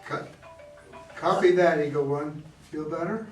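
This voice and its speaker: A man's voice: on the high side, a little slow, faint music behind, lightly acted. A man playing an earnest, by-the-book mission control officer in an amateur play reading, speaking in a formal, procedural way. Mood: exasperated, concerned. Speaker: amateur reader of a play, also reading stage directions. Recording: echoey room, distant mic, background noise.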